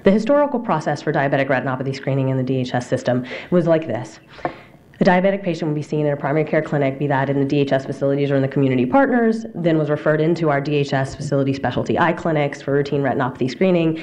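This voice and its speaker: Woman doctor, low voice, quick conference lecture at a lectern mic, fillers and self-corrections. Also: animated, a little mellow. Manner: fast, explanatory, with frequent fillers and restarts. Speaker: physician presenter. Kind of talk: conference slide presentation. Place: conference room.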